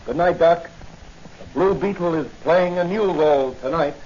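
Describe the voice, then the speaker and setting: A man's voice: fairly high pitch, moderate pace, a little mellow, lightly acted. An actor playing a bold, playful policeman hero in 1940s radio adventure style. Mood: confident, wry. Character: confident crime-fighting policeman hero, wry and bold. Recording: muffled noisy 1940 radio recording.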